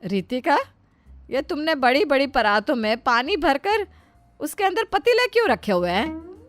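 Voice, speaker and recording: A woman's voice: sits high, very animated, unhurried, no echo, voice pushed up. A woman voicing a practical middle-aged mother-in-law, chiding yet patient, in a cartoon moral story. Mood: astonished, chiding. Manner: chiding, explanatory. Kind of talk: animated Hindi moral story dialogue.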